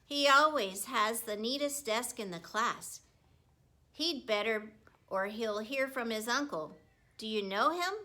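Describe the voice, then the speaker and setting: A woman acts out a friendly, big-hearted school janitor from a storybook. He is chummy and folksy, full of exclamations, in a bright voice pitched fairly high for the part. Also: measured pace. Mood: excited. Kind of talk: children's book read aloud.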